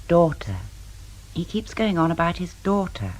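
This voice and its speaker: An actress playing a brisk, no-nonsense hospital nurse in a radio crime drama. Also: female voice, lowish voice, very animated, darkish tone, poor audio. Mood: firm, caring. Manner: brisk, matter-of-fact. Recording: clear background noise and ambience.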